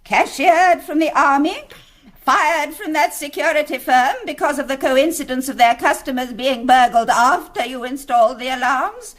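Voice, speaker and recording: A woman's voice: high-pitched, normal speed, fairly bright tone. An actress playing an elderly, genteel English lady who leads a gang, prim, precise and commanding in a radio drama. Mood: icily polite. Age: older. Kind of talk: British radio drama acting.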